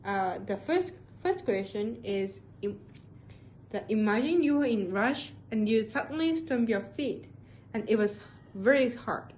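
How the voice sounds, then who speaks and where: Higher-voiced woman asking questions. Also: animated, a little slow, darkish tone, slight background noise, low-quality recording. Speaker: student interviewer.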